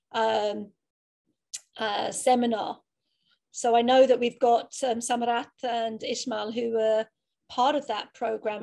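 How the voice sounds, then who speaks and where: A woman's voice: fairly high pitch, vocal fry, a little mellow. A woman moderating a student panel discussion in an online webinar.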